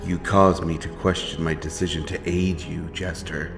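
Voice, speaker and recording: Man acting a deep, grim monster hunter. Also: little pitch movement, moderate pace, gravelly. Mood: stern, menacing. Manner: archaic, solemn, threatening speech. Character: grim, stern male monster hunter, menacing but honourable. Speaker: audio roleplay performer. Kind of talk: dark fantasy audio drama acting. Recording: music behind the voice.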